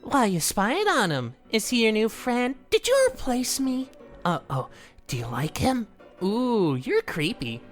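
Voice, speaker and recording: A woman's voice: wildly swooping pitch, measured pace, faint music behind. Woman as a manic, wildly swooping jester man. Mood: teasing, nosy. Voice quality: squeaky. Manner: sing-song, gushing, theatrical. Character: manic giddy shapeshifting male jester, clingy and teasing. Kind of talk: animated audio drama character acting.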